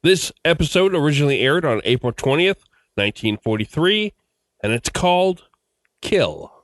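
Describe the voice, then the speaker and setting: Animated male podcast host, dry close sound. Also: on the high side, normal speed, dry recording. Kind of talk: scripted podcast introduction.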